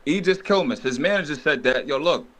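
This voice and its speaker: A man's voice: fairly high pitch, fast. A man co-hosting a boxing podcast, debating casually and cutting in with agreement and pushback. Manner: animated, cuts in with "exactly" and "bro". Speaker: podcast co-host.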